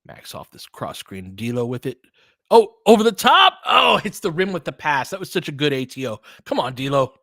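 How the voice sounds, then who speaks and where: A young man doing live play-by-play commentary, excitable, with quick asides and frequent laughs. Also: a little high, vocal fry, no room sound, studio quality. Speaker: fan play-by-play host.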